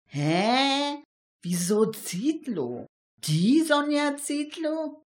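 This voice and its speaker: A plucky female amateur detective, played by a woman with a fairly high, animated voice. She talks colloquially and exclaims often, in the manner of a homemade crime radio play. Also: drawn-out words, exaggerated voice. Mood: astonished.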